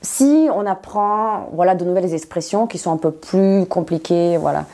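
Mellow-voiced teacher, woman. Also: fairly low pitch, normal speed. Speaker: non-native French teacher, interview guest. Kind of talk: conversational explanation in an interview.

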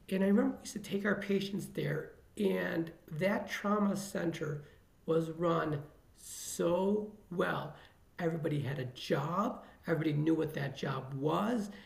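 Middle-aged male ER doctor from the Chicago area, earnest and motivational, heavy vocal fry, echoey room. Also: high voice, darkish tone. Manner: earnest, motivational, repeats phrases for emphasis. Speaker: emergency physician guest. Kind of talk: podcast interview answers.

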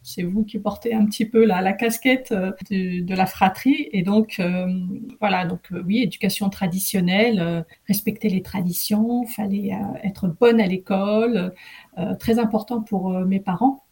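A woman interview guest from northern France, speaking conversationally and haltingly, often repeating words as she goes. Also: medium pitch, a little mellow, breathy edge. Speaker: podcast guest, recruitment executive.

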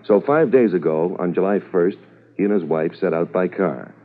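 An actor playing a brisk, authoritative police commissioner in a 1950s radio drama, methodical and official. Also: male voice, low-pitched. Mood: businesslike, briefing. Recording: muffled, thin old radio recording.

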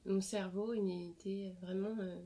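A woman's voice: medium pitch, steady tone, a little slow, warm tone. A young woman from France, interviewed about her family and childhood, talking in a casual way with many fillers and restarts.

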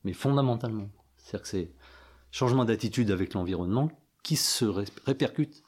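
A man's voice: lowish voice, a little fast, darkish tone, breathy edge. A man sharing reflections in a group discussion, talking hesitantly with many restarts and fillers. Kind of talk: casual reflective talk.

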